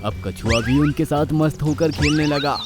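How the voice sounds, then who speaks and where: A man narrating a scripted children's story in an expressive storytelling style. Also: on the high side, moderate pace. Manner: expressive, animated. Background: background music.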